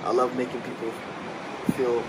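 A young man from Kenya chats loosely and slangily, mixing in Swahili. He is caught by a camera mic a few meters away on an outdoor terrace, with loud noise behind him. His pitch sits in a middle range. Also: monotone, moderate pace, far from the mic. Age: young adult. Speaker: interview guest. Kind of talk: casual chat. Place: outdoor terrace, sunny day.

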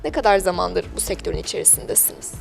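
A woman's voice: on the low side, very fast, creaky. A woman hosting a local TV interview in a chatty, polite, wordy presenting style. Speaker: TV programme host interviewing business owners. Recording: music behind the voice. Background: background music.

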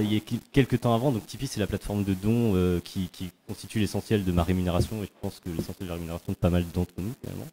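Man, deep voice, mellow, thoughtful, many fillers, panel guest, handheld mic. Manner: thoughtful, filler-heavy explaining. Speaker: panel guest, video creator. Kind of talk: casual panel talk. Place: classroom panel at a festival.

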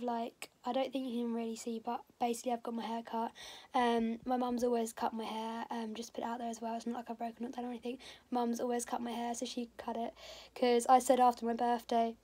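A woman, chatting casually to a phone camera at home in a husky, strained voice, rambling with lots of fillers. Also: a little high, level tone, fast talker, mellow. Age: 20s. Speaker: vlogger. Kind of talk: casual vlog chat. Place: indoors at home, bedroom and kitchen. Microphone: phone camera held at arm's length.

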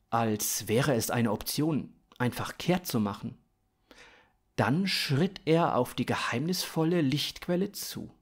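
Casting: male narrator in his thirties for comic sci-fi, expressive, swooping pitch, deliberate slow tempo. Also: breathy edge. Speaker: author-narrator of a story podcast. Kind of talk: scripted narration of comic science fiction.

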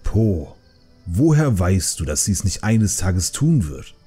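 Man voicing a deep, husky pandaren uncle. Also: very animated, takes it slowly, mellow, faint music behind, full character voice. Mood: puzzled. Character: earnest, reasoning older male pandaren brewmaster, protective uncle.

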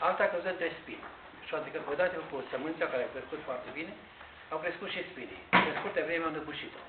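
Older man preaching in an echoey church. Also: fairly high pitch. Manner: measured, explanatory preaching with pauses and restarts. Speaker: priest. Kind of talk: sermon. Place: large Orthodox church interior, indoors. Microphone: far camera. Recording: tinny, echoey church recording with hiss.

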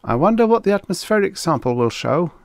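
A jumpy, curious male archaeologist, voiced by a man narrating, lifted high above a normal speaking pitch. He is an audiobook space explorer, recorded dry. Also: very animated, fairly quick, creaky, no room sound, exaggerated voice. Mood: wondering. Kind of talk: audiobook character voice.

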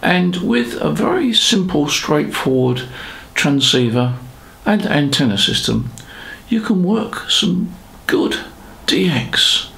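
Older British man with a creaky voice. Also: on the high side, sing-song, slow pace, fairly bright tone. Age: 70s. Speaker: ham radio presenter. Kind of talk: explanatory talk to camera. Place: small home studio room, indoors.

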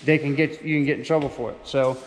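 A man's voice: medium pitch, steady tone, fast talker. A man talking casually as a trucker vlogger, showing his gear in informal, slangy language on a handheld camera. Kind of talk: casual walk-through vlog, chatty and informal. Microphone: handheld camera on small tripod, no foam cover. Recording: reverberant, noisy handheld camera audio with handling clicks.

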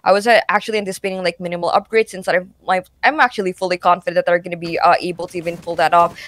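A woman's voice: on the low side, steady tone, very fast, fairly bright tone. A woman with a Filipino accent commentating live on a game in a wordy, code-switching way. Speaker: esports commentator.

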